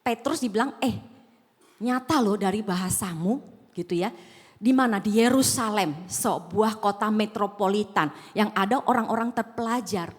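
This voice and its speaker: A woman's voice: medium pitch, normal speed, husky. A woman pastor from Sumatra with a slight Javanese accent, preaching a sermon in a conversational way and asking the congregation questions. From Sumatra, Indonesia. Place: large auditorium. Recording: echoing hall.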